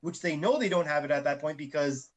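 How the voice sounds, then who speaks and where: A man's voice: fairly high pitch, quick pace. A man co-hosting a podcast, telling a rambling everyday story in casual chat and restarting his sentences often. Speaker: podcast co-host.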